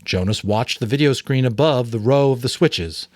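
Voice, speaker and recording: A man narrating a novel aloud as a dramatic, expressive reading. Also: mid-range voice, normal speed, dry recording, clean studio sound. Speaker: audiobook narrator and voice actor. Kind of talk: dramatic story reading with asides.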